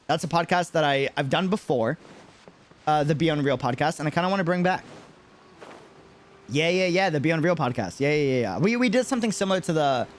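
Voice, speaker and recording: A man's voice: fairly high pitch, a little fast, long pauses. A man streaming in a casual chat with viewers, talking animatedly and repeating phrases for emphasis. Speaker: streamer and content creator.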